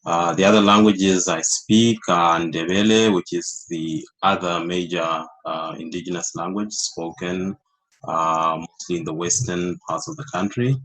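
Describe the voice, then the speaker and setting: A man from Zimbabwe, a Shona speaker, introducing himself on a panel in a measured, explanatory way. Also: sits low, fairly even intonation, a bit bright, rough recording.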